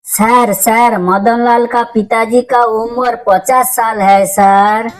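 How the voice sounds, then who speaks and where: A woman voicing a dim but earnest schoolboy in a comedy cartoon, answering in a deliberate, reciting manner. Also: a little high, fairly even intonation, low-quality recording. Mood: naive, deferential.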